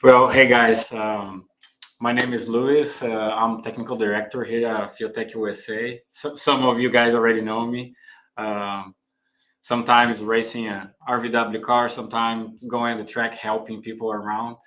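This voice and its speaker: Very bright-voiced man giving a casual walkthrough. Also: medium pitch, level tone, normal speed. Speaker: technical director and co-host. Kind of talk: casual live product walkthrough.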